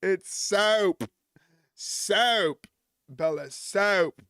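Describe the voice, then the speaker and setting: A man's voice: sits high, extremely slow, background noise. Chatty man hosting a stream. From United States. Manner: rambling, jokey, chuckles often, answers chat. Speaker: wrestling stream host. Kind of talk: casual live-stream chat with viewers.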